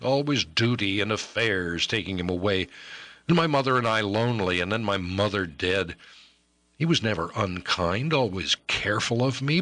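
A man's voice: lowish voice, fairly bright tone, no echo. A man voicing a young noblewoman in love, pleading and tearful, in an audiobook reading. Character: young noblewoman, anguished and passionate lover.

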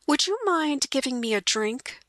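A grieving housewife, soft-spoken and sweetly persuasive, as a woman reads her aloud. She pleads gently and stretches her words out slowly, in a bright and clear voice from a dry, close recording. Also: sits high, expressive, no room sound, pitched above the performer's own voice. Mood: sweetly pleading.

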